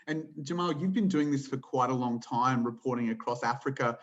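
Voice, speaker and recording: Male interviewer, medium pitch, conversational and a little tentative, muffled call audio. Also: a little fast.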